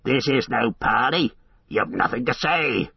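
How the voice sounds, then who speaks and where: Savage orc warriors jeer and taunt in slow, gruff bursts that swing from low growls to sudden highs, as a man puts them on. The sound is husky, dry and a little muffled. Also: lively intonation, dry recording, distant mic, heavily acted. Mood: threatening. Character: brutish male orc warriors, jeering, savage. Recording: dull lo-fi recording.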